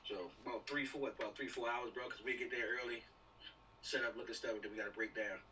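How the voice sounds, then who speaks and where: A man's voice: mid-range voice, steady tone, fast, bright, forceful tone. An American man chatting casually as a film crew member on an online video meeting. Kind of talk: casual chat. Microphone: video call. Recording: noisy, distant video call audio.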